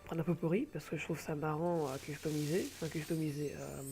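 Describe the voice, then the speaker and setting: A man's voice: on the high side, flat delivery, fairly quick, a little mellow, faint music behind. A man with a cold, talking casually and hesitantly over gameplay from a home computer mic, rambling with many filler sounds. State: has a cold. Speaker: gamer presenting an online game.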